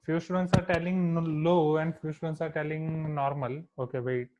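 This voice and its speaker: A man's voice: a little high, little pitch movement, normal speed, a little mellow. A male maths teacher with an Indian accent teaching an online class, mixing in Hindi and checking in with students often. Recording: echoey tinny online class audio.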